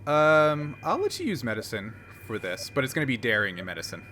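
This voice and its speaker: A man's voice: medium pitch, very animated, fairly quick, bright, forceful tone, a little hiss or hum. A man running a tabletop game as game master, reading rules aloud with many fillers.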